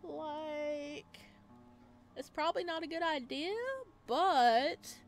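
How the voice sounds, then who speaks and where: A woman with a fairly high voice plays through a visual novel as a gaming host. She reads the narration aloud and drops in informal, slangy reactions, with game music behind her. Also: slow. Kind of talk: visual novel let's play, reading aloud with casual reactions.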